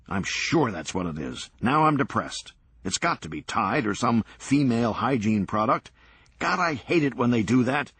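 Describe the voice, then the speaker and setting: Guy doing a hyper excitable dude, higher pitched, husky, all over the place. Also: heavily acted. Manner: rapid, giddy, swinging wildly between moods. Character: manic excitable grown man, obsessive collector.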